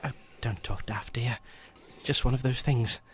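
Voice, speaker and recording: An actor playing a calm, sympathetic man breaking bad news in an audio drama, gentle and matter-of-fact. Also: medium pitch, moderate pace, noisy background, lightly acted.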